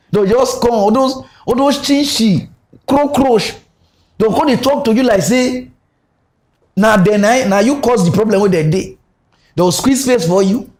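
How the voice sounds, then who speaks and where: A man with a Nigerian Pidgin accent hosting a comic mock news segment, ranting in an animated, mocking way. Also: sits high. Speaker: host.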